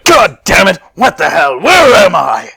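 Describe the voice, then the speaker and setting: A man puts on a haughty, irritable anime warrior. The voice is raspy and raised in pitch, with forceful, gruff delivery. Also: huge pitch swings, measured pace, heavily acted. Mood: angry, shouting. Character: Vegeta, proud gruff irritable Saiyan warrior. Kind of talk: amateur fan fiction reading, anime character voice.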